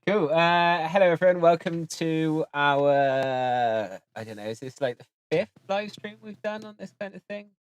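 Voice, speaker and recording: A man hosting a casual live stream chat, relaxed and hesitant with frequent ums. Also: fairly high pitch, unhurried, hardly any pauses, clean tone. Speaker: host.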